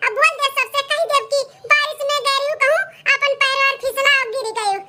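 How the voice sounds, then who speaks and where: A very high, piercingly bright cartoon voice an old village mother-in-law. She speaks with an Awadhi accent, wheedling and complaining as she schemes. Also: brisk, exaggerated voice. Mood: pleading. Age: older. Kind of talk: Awadhi comedy cartoon dialogue.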